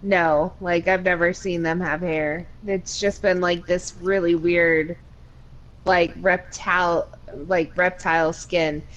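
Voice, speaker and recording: A woman's voice: lowish voice, flat delivery, slow, a bit bright, lo-fi sound. A woman co-hosting a casual group podcast, giggly and loose, filling her talk with "like". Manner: giggly, trails off with "like". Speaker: podcast co-host.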